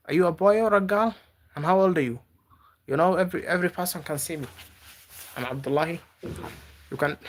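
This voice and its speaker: A man from Africa, not a native English speaker, chatting live with viewers and giving gentle advice, halting and repeating words. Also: fairly high pitch. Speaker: livestreamer. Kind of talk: casual live-stream chat with viewers.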